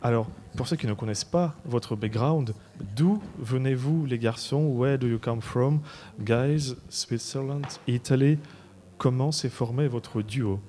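A man interviewing musicians at a festival, asking lively, quick questions. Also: mid-range voice, in a large hall, slight background noise.

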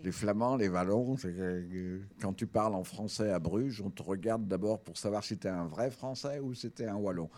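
A man's voice: lowish voice, normal speed, few pauses. A man hosting a radio show, chatting with a guest and reading a scripted chronicle in a studio. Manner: expressive, lingering over words. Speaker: radio host. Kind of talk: casual chat, then scripted radio chronicle.

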